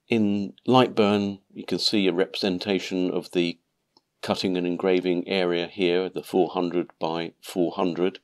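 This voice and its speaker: Deep-voiced man, nasal with a cold. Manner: calm, methodical explaining. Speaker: maker channel host. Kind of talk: step-by-step tutorial narration.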